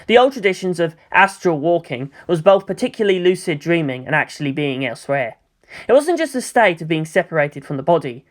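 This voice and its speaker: A story narrator reading dramatic action fiction in a measured, expressive way. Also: medium pitch.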